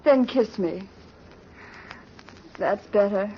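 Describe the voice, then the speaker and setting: A woman's voice: medium pitch, extremely slow. An actress playing a sweet, tender, girlish young daughter and bride in a 1930s radio drama. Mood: affectionate, coaxing. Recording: muffled, noisy old radio recording.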